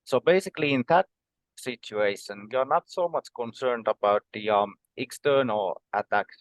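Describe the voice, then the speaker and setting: Give me a middle-pitched Finnish man with a measured, explaining manner and lots of filler pauses, recorded dry. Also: no echo, low-quality recording. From Finland. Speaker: guest. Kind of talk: conversational podcast interview.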